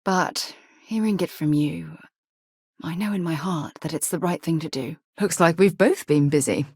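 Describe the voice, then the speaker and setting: A principled female space explorer in a video game. Her voice is fairly low and husky, recorded close and dry. She speaks with measured, articulate sincerity, like someone trained in the military. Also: darkish tone, dry recording. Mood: warm, sincere. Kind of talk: video game companion dialogue acting.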